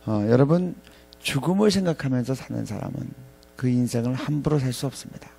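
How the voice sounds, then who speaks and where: A man in his fifties delivers a reflective chapel sermon. His voice is mellow and creaky, sitting at an average pitch. He speaks haltingly, pausing often and circling back to repeat phrases. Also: animated. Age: 50s. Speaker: professor preaching at a ministry staff chapel. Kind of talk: reflective sermon with scripture readings.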